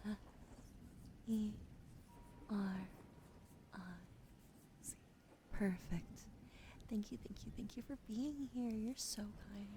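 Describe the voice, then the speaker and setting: A woman's voice: medium pitch, takes it slowly, long pauses, mellow, slight background noise. A young woman streamer with a wheezy throat, whispering in ASMR style, thanking viewers and swearing casually.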